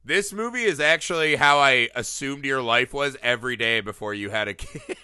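A man hosting a casual film podcast, animated and teasing, a little sniffly from a cold. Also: mid-range voice, normal speed, clean tone. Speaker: podcast host. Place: Los Angeles area.

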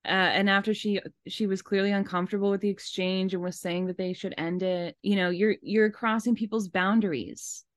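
A woman's voice: mid-range voice, steady tone, moderate pace, hardly any pauses, dry recording. A woman hosting a podcast, giving casual, emphatic commentary and repeating phrases for stress. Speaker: podcast host.

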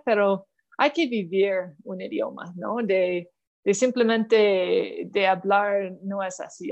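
A woman's voice: medium pitch, darkish tone, highs cut off. A middle-aged Canadian woman, a diplomat, answering interview questions in learned Spanish with a Canadian English accent, thoughtful and full of small hesitations and restarts. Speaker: diplomat guest.

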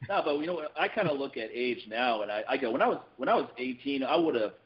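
Guy chatting fast on a podcast, keeps restarting words, kinda dull lo-fi audio. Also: medium pitch. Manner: animated, quick, repeats words when starting a thought. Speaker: podcast co-host. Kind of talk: casual podcast chat.

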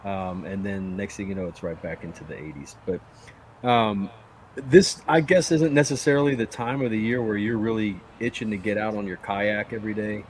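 A man's voice: fairly low pitch, steady tone, normal speed, slight background noise. A man hosting a podcast in casual chat, teasing and interrupting with jokes. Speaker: podcast host.

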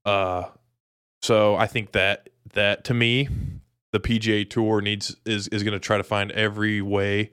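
A man's voice: lowish voice, level tone, measured pace, a bit bright, clean tone, no room sound, studio quality. A young American man from Dallas co-hosting a sports podcast, chatting casually about golf in slangy, informal talk. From Dallas, Texas, United States.

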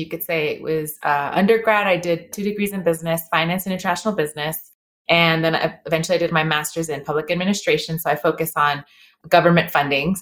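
A woman's voice: fairly low pitch, fairly bright tone. A woman in her thirties, born in El Salvador and raised in Los Angeles, speaking earnestly as an interview guest with frequent fillers and restarts. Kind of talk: conversational interview.

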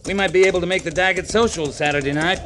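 An actor playing a cavalry officer in a 1950s radio western, on a noisy old recording. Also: male voice, high-pitched, quick pace, over music, natural voice. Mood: reflective. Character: gruff but softening male cavalry officer in Old West.

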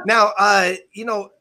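A man's voice: high-pitched, pushed and bright. A man hosting an interview, rambling enthusiastically with many fillers. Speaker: interviewer, host. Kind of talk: casual interview chat.

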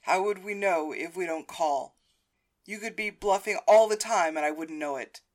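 Lady doing a hot-headed card guy, lowish voice, loud and argumentative, audiobook dialogue. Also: normal speed, close to an everyday voice. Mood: accusing. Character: hot-headed, stubborn male poker player, easily riled.

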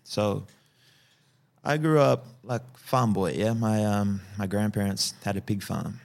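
Podcast guest: man, early 40s, low gravelly voice, calm and steady, tags lines with "yeah?". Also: measured pace. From Fiji. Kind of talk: personal storytelling in a podcast interview.

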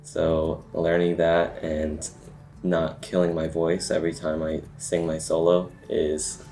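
A young man with a naturally very low voice, a student actor talking in a casual, slightly rambling way. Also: completely flat delivery, takes it slowly, warm tone.

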